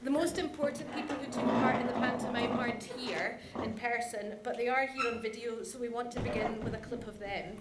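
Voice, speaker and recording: A woman's voice: on the high side, a little fast, hardly any pauses. A woman giving an informal, good-humoured conference presentation. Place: large conference room. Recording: roomy, clearly noisy conference hall recording.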